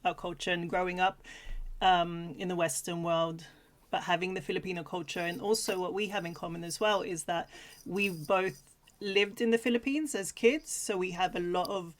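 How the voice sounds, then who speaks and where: A woman from Sweden co-hosting a livestream in a casual, chatty, giggly manner. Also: on the low side. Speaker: co-host of a livestream talk show.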